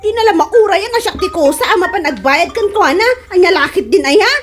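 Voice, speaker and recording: A woman's voice: very high-pitched, lively intonation, fast talker, faint music behind. A woman with a Filipino Ilocano accent playing a hard-up, complaining wife who keeps borrowing, in a comedy radio drama. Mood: indignant.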